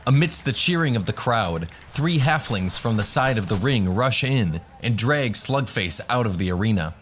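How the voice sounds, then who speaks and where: A man's voice: fairly low pitch, expressive, moderate pace, a bit bright, slight background noise. A man narrating a scripted fantasy adventure as the game master of a radio drama.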